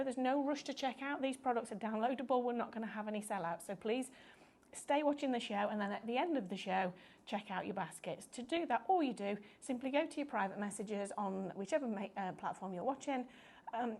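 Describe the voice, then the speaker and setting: A woman presenting a live craft shopping show, chatty and upbeat, explaining things step by step, her voice a little croaky. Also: a little high, fairly quick, a little mellow. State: slightly croaky voice.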